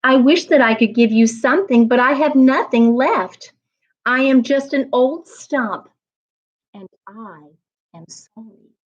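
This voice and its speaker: A woman voicing a loving, self-sacrificing female tree in a storybook, gentle and soft-spoken. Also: high-pitched, a little slow, highs cut off. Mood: sorrowful.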